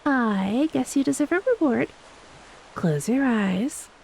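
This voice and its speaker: Mellow, affectionate ex-mermaid wife, acted by a woman. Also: sits high, slow pace, vocal fry, exaggerated voice, rough recording. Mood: affectionate, teasing. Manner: tender, teasing, speaks softly to a beloved spouse. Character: affectionate, homesick ex-mermaid wife, gentle and playful. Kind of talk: romantic audio roleplay, intimate scripted acting. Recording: steady hiss behind the voice.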